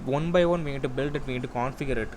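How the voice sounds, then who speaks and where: A man giving an informal software demo walkthrough, explaining in a halting, repetitive way. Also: mid-range voice. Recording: clear steady background noise.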